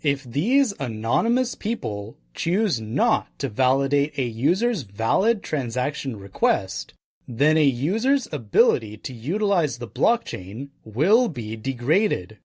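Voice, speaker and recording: A man narrating a nonfiction audiobook in a measured, deliberate, formal reading style. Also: medium pitch, sing-song, a little mellow, slightly rough, no room sound.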